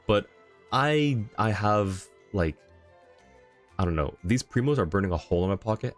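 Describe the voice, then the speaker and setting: A man with a low voice talks casually as a game streamer. He is easygoing and often thinks aloud, with faint music in the background. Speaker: game livestreamer. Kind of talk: casual livestream chat.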